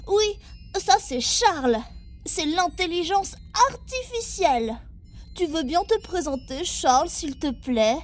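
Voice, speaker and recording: A woman's voice: very high voice, animated, a little slow, soft background music. A woman playing a naive, eager seven-year-old child intern who explains things simply in an audio drama.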